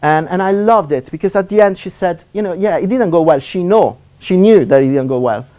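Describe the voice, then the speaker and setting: A man from Italy, speaking English with an Italian accent, giving a seminar talk as a university lecturer; he talks fast with many fillers and restarts. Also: sits high, animated, dark tone.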